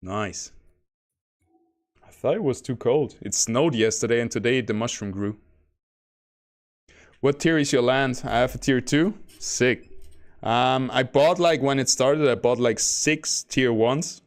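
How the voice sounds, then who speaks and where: Soft-spoken man rambling over gameplay. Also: mid-range voice, a little slow, pauses often. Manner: self-described monotone, soft bedroom voice; rambling, chuckles between remarks. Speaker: game streamer. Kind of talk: casual gameplay commentary. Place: home.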